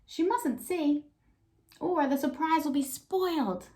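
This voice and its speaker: A woman's voice: high-pitched, lively intonation, slow pace, warm tone, voice pushed up. Woman voicing earnest young storybook boy, anxious and downcast. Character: anxious, downcast little boy in a picture book.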